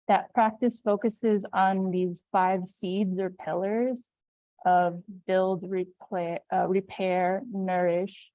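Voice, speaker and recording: A woman from Kuwait presenting on a video call, speaking softly and reflectively with many fillers. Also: mid-range voice, no room sound. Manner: soft-spoken, reflective, with frequent fillers. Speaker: invited speaker. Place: home in New Mexico. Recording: dry, phone-like video call audio.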